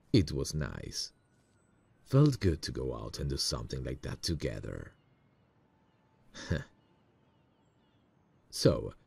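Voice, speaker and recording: A man acting a smitten, nervous boss in a romantic ASMR roleplay, speaking intimately and a little hesitantly with a gravelly, husky voice. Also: very deep voice, warm tone, full character voice. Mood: relaxed, affectionate. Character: smitten, nervous male boss on a work trip.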